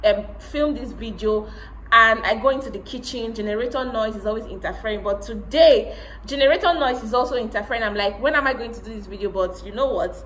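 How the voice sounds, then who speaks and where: A woman's voice: on the high side, brisk, fairly bright tone. A woman in her thirties, a cooking vlogger, chatting straight to viewers as she walks through a recipe at home. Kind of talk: casual cooking walkthrough. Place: home kitchen. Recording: echoey kitchen with background noise.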